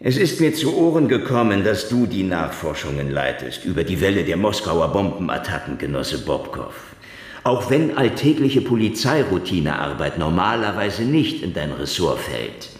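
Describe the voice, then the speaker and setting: Very deep-voiced, sardonic hard-boiled detective. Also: male voice, big pitch swings, normal speed, husky, full character voice. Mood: mocking. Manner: sardonic, wry, theatrical delivery. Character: cynical, hard-boiled Russian ex-detective, world-weary and mocking. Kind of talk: noir radio drama narration and dialogue.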